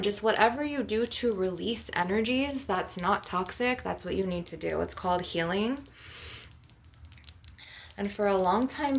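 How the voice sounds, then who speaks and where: A woman with a creaky voice speaking as a spiritual healer in an ASMR session, earnest and instructive in a conversational way. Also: medium pitch, slight background noise. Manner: earnest, instructive, often adding "you know" and "okay". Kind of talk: spiritual ASMR healing session with rambling talk.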